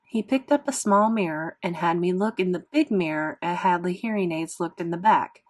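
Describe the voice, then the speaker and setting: A woman's voice: lowish voice, moderate pace. A woman narrating a children's novel expressively as a classroom read-aloud. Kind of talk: scripted storybook narration.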